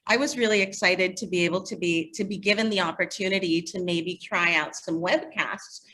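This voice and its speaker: A woman answering interview questions in a casual, animated storytelling way. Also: lowish voice, a little fast, a little hiss or hum, poor audio. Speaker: interview guest, magazine editor.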